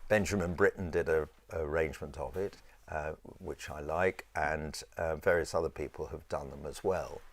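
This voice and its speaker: A man with a low voice teaching a choir in an informal, rambling and halting conversational way. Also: no echo. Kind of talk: informal instructional talk with sung demonstrations.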